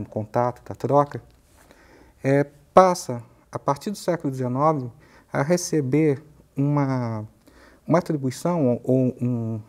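A man in his fifties with a Brazilian accent, a professor explaining ideas in a measured, halting way in a studio interview. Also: mid-range voice, a little mellow. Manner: measured, halting, searching for words. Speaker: university professor, interview guest. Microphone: lapel mic.

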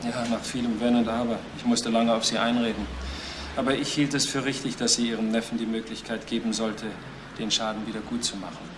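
Male actor as measured witness, little pitch variation, reasonable, deliberate, radio crime drama, noisy background. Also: level tone, lightly acted. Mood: earnest. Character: earnest, measured male adviser giving testimony. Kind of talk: German crime radio play acting. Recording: noisy old radio play recording.

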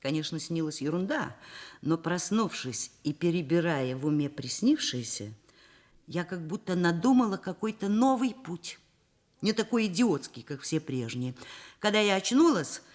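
An older actress playing a reflective, kindly and candid woman professor in a Soviet radio play. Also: fairly low pitch. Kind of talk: Soviet radio drama narration. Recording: vintage radio play, music underneath.

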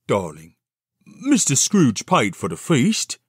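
Man as gentle family father, pitched up. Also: wildly swooping pitch, takes it slowly, slightly rough, dry recording, studio quality, full character voice. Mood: gently placating. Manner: warm, mild, placating. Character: kindly, gentle, grateful poor family father.

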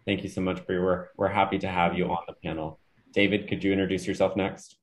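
Man, panel moderator, very deep voice, fast-talking, earnest. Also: little pitch movement, a little hiss or hum. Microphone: webcam video call.

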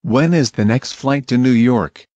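A man reads short English travel phrases in a measured, plain voice, one at a time for learners. His pitch is ordinary for a man. The audio is dry and a little dull, as if the highs were trimmed. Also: darkish tone, dry recording. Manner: measured, plain, each phrase read alone. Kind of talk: slow clear phrase reading for learners.

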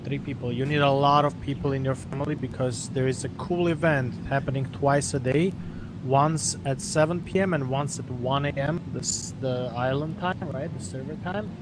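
Mid-pitched man, noisy game voice chat. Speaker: fellow player guiding a newcomer. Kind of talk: casual online game roleplay chat.

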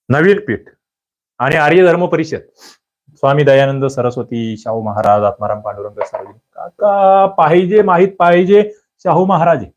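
A man leads an exam coaching lecture, tossing quick rhetorical questions at his students. His voice sits a little high for a man. The recording is dull and lo-fi. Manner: lively, quizzing listeners with rhetorical questions. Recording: dull lo-fi audio.

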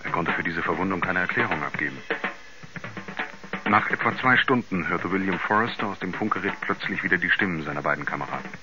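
An actor playing a sober male investigator, narrating a strange case in a measured, formal, factual way. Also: low-pitched, music in the background, lightly acted. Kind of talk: scripted narration in 1970s German radio drama. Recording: dull vintage radio recording.

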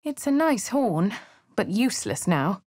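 A woman's voice: mid-range voice, big pitch swings, a little slow, a little mellow, no echo, studio quality. An actress with a posh English accent voicing a wry female fantasy adventurer in a video game, narrating and speaking in character. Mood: measured, wry.

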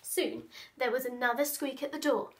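A woman in her thirties reading a children's story aloud in an expressive, dramatic manner. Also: sits high, normal speed, slight background noise. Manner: expressive, dramatic, with stage-whispered asides. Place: small room at home, indoors.

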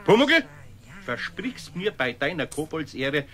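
Radio play role: exasperated older Bavarian craftsman, fairly high male voice, sharp edge. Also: very animated, measured pace, heavily acted. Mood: grumbling, worried. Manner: grumbling, exasperated. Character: grumbling older Bavarian craftsman, stern but good-natured. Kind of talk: children's radio play acting.

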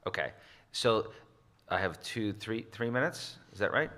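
Low-voiced, bright-toned man fielding questions. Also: lively intonation, a little slow. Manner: hesitant, many restarts and fillers. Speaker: conference presenter. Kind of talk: Q&A after a conference talk.

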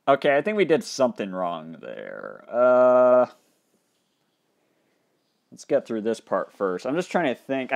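Young male game host, dry-mouthed and tiring voice, lively swings from muttering to loud outbursts. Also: medium pitch. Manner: animated, thinking aloud, jumps into loud excited outbursts. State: dry mouth, voice getting tired. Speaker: gaming channel host. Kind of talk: casual let's play commentary.

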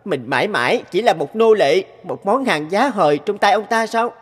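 A humble, high-voiced teenage boy inventor. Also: animated, normal speed. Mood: bitter realization. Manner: polite, modest, sincere. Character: humble, earnest sixteen-year-old enslaved boy inventor. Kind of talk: cartoon dubbing.

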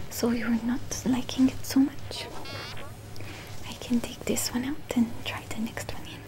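Young female ASMR performer; soft high whisper, unhurried, soothing and reassuring, as a caring repair technician. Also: rough recording. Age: young adult. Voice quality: breathy, unvoiced whisper. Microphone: close-up sensitive ASMR microphone, speaking through a cloth mask.

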